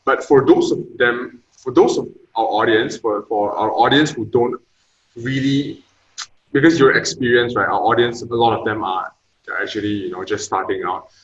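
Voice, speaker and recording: A man's voice: mid-range voice, normal speed. A young man hosting a podcast, asking casual, rambling questions full of fillers. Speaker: podcast host. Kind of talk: interviewing. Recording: roomy echo, dull lo-fi sound.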